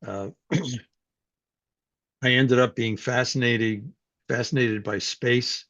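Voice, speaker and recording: An older man from New York City, speaking as a guest and telling his life story in a reflective, unhurried way with frequent fillers. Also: mid-range voice, fairly even intonation, a bit bright, dry recording. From New York City, USA. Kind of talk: personal life story, conversational talk. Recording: dull, dry sound.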